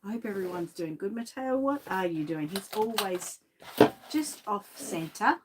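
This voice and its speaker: A woman's voice: mid-range voice, lively intonation, moderate pace, very noisy. An Australian woman with a lingering cough chatting casually on a livestream, greeting viewers by name from her home studio. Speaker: reborn doll artist and shop owner.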